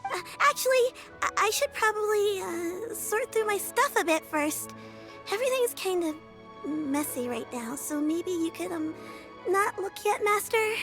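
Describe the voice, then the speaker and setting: A woman's voice: extremely high pitch, animated, unhurried, a bit bright, faint music behind, full character voice. A woman voicing a flustered young girl apprentice who stammers and hesitates.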